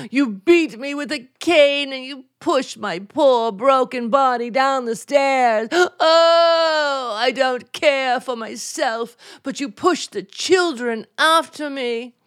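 A woman's voice: fairly high pitch, dry recording, clean studio sound. A woman playing a theatrical wife who puts on mad, melodramatic acts, in 1940s radio comedy style. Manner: exaggerated, melodramatic.

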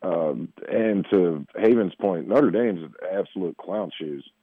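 A man's voice: low voice, slow pace, dry recording. A man on a sports betting panel, confident and wisecracking about the teams. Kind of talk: casual sports talk. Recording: tinny phone-like line.

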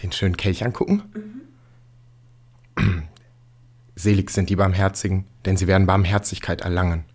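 An actor playing a sanctimonious, lecherous village priest who drops pious verses and muttered asides in a German comic audio drama. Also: male voice, sits low, expressive, moderate pace, pauses often, a little mellow, husky, full character voice. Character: lecherous, pompous village priest, man, quoting scripture.

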